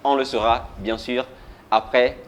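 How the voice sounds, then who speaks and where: A man's voice: mid-range voice, steady tone, a little slow. Man delivering a dramatic scripted intro. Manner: theatrical, dramatic build-up. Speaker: show presenter.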